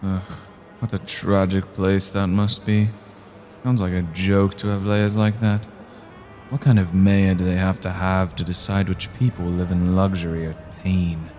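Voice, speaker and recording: A man playing a cold, scheming vampire clan leader in a fantasy audio drama, speaking in a deliberate, formal and grave way. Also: low-pitched, flat delivery, dark tone, soft background music.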